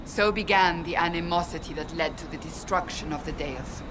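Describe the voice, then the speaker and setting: An actress playing a cool, scholarly companion in a video game, speaking drily and precisely. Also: female voice, fairly low pitch, a little fast, noisy background, natural voice. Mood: sober.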